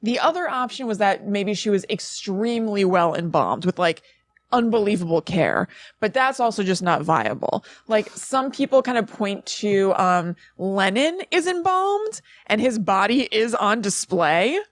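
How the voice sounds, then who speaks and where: A woman's voice: mid-range voice, big pitch swings, unhurried, dry recording. A woman co-hosting a podcast in a casual, chatty and emphatic conversational way. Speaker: podcast co-host. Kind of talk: casual chat about spooky legends.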